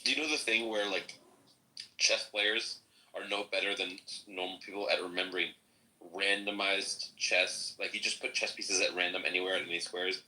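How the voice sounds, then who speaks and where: A man in a casual chat, talking in a rambling, animated way. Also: deep voice, normal speed, low-quality recording. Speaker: podcast participant.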